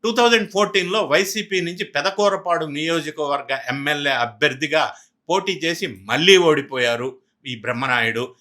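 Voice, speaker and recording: A man's voice: fairly high pitch, normal speed, sharp tone. A man with a Telugu accent giving emphatic political news commentary, often posing rhetorical questions.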